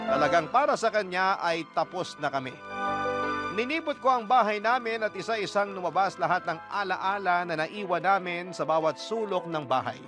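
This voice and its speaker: Sentimental radio storyteller, male, reading as a heartbroken wife; confiding tone, slightly high pitch, prominent music bed. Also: close to an everyday voice. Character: heartbroken wife telling her love story. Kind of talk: radio storytelling, scripted first-person narration in Tagalog.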